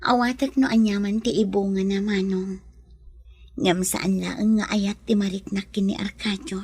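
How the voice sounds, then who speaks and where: An actress playing a gentle, frail young woman in love, with an Ilocano accent and soft, pleading delivery in a radio drama. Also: medium pitch, steady tone, normal speed, breathy edge. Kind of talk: Filipino radio drama acting.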